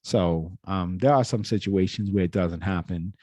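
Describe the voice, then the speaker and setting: Dark-voiced man lecturing. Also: low voice, normal speed, dry recording. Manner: lecturing, frequent restarts and self-corrections. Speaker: reaction video host. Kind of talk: casual opinionated commentary.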